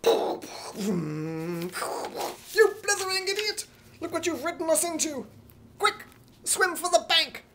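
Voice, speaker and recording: A man playing an excitable, blustering, gullible hero with a British accent in a radio comedy, frantic and exclamatory. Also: very high-pitched, takes it slowly, pauses often, a little hiss or hum, pitched above the performer's own voice, heavily acted. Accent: imitated British. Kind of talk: 1950s radio comedy acting.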